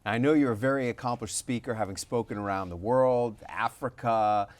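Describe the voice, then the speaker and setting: A man's voice: mid-range voice, moderate pace. A man hosting an interview, asking leading questions and opening with praise for his guest. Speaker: interview host.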